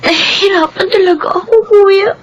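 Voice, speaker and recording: A woman's voice: very high voice, fairly even intonation, normal speed, dull audio. A woman playing a meek, sickly Filipino teenage daughter in a radio drama, speaking in a plaintive, deferential way. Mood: tearful, weary. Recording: noisy radio drama track.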